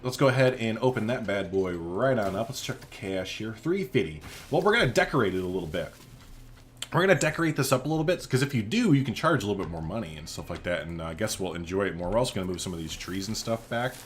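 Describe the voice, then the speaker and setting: Low-pitched guy, casual gaming commentary. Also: expressive, quick pace, a little hiss or hum. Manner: rambling, thinking aloud while playing. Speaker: gaming video host.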